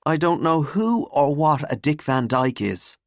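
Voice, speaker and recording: Woman reading an earnest teen girl, wry, defensive, lifted varied pitch, storybook dialogue. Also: animated, measured pace, no echo, studio quality. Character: earnest, easily embarrassed teenage schoolgirl.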